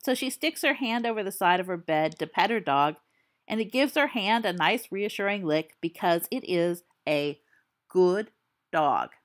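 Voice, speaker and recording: A woman's voice: on the low side, lively intonation, normal speed, lightly acted. A woman voicing a cocky teenage boy who tells a spooky story in a theatrical, teasing way.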